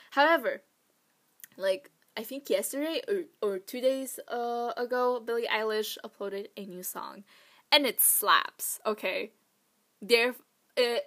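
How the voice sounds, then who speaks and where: A woman's voice: on the high side, fairly bright tone. A young woman hosting her own podcast, chatting casually and rambling with lots of "like" and "um"; Vietnamese was her first language. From Vietnamese family, raised in Poland. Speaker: podcast host. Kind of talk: casual solo podcast chat.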